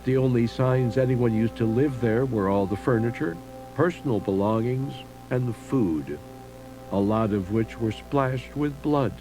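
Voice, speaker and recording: Guy doing a spooky history doc voiceover, serious and measured, music behind him. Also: mid-range voice, mellow, poor audio. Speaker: documentary host and narrator. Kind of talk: scripted narration of eerie local history. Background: music under the voice.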